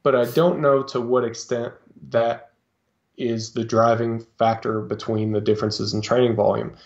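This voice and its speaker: A man talking as a guest on a podcast, explaining his coaching in a halting way with lots of fillers and restarts. His voice sits in a middle register, and the recording sounds a little dull and lo-fi. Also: medium pitch, little pitch movement. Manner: hesitant, many fillers and restarts. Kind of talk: casual interview talk.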